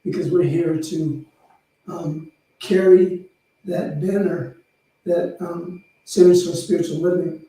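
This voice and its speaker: A man speaking as a minister, informally leading prayer and blessing with halting pauses. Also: on the high side, fairly even intonation, warm tone. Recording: very echoey room, slightly distant mic.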